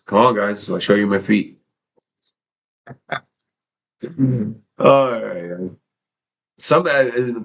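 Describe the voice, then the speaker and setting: A man's voice: sits low, a little hiss or hum, low-quality recording. A man hosting a casual livestream, rambling and swearing freely, with many fillers and restarts. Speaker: livestream host.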